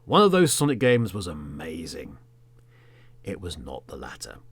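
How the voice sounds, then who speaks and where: An Englishman in his thirties, a podcast host telling a story in the third person as a monologue, theatrically, with emphatic words and phrases that trail off into a hush. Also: deep voice, wildly swooping pitch, normal speed, breathy edge.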